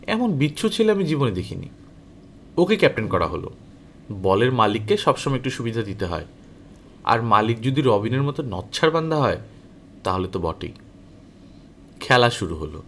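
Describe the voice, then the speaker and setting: Male audiobook narrator, expressive storytelling, animated delivery, lively swinging pitch, medium register. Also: normal speed, long pauses, slight background noise. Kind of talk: expressive scripted story narration, first-person as a boy.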